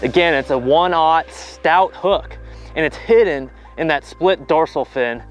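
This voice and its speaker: Upbeat man teaching bass fishing on a lake. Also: a little slow, faint music behind, low-quality recording. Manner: casual, enthusiastic, many "you know" fillers. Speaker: pro bass angler. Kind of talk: on-the-water fishing tutorial. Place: outdoors on a boat on a lake.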